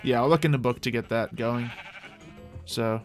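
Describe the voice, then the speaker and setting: A man's voice: medium pitch, steady tone, moderate pace, faint music behind. A man giving casual, rambling live gameplay commentary as a streamer, playfully talking to himself and to the animals in the game.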